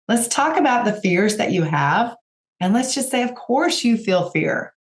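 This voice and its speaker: A woman with a raspy voice, hoarse from a cold, hosting a podcast and giving direct, encouraging coaching talk to her listeners. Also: a little high, big pitch swings, unhurried. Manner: encouraging, direct, addresses listeners as you guys. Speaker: podcast host and business coach.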